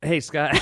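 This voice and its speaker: A man's voice: very high voice, very noisy. A man co-hosting a comedy podcast with casual, goofy banter and short interjections.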